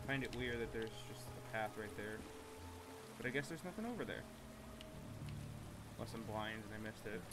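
A man's voice: fairly low pitch, expressive, normal speed, pauses often, very noisy. A man, middle-aged, chatting casually and in a laid-back, profane way as he plays a game with a friend. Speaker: gamer streaming a co-op playthrough. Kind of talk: casual gameplay chat. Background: game music and sound effects.

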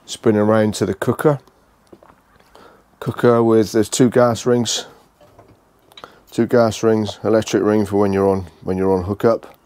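A British man giving a casual, unscripted walkthrough tour, speaking haltingly and repeating phrases as he goes. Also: lowish voice, little pitch movement, darkish tone, no room sound. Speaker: vlogger giving a motorhome tour.

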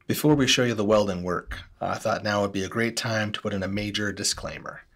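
A middle-aged man hosting a bike repair video, walking through a frame inspection in a measured, careful way in his workshop. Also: mid-range voice. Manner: measured, cautious explainer. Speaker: host. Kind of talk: explanatory bike repair walkthrough.

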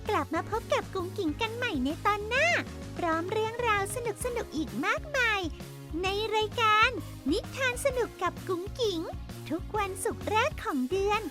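A woman voicing a cheerful little girl who hosts a children's cartoon show, sweet, bubbly and slow. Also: extremely high pitch, lively intonation. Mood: gentle. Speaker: show host. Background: children's background music.

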